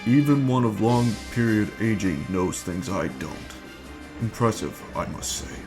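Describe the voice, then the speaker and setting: A man acting in an amateur dramatic reading as a scheming, menacing villain bent on conquest, speaking in a measured, formal, commanding way. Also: fairly low pitch, expressive. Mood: cold, calculating. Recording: music bed under the voice.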